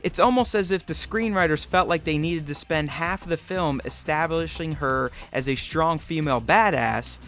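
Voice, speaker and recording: A man's voice: on the high side, moderate pace, few pauses, a bit bright. A man hosting a film review, reading scripted narration. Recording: music bed behind voice. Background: background music.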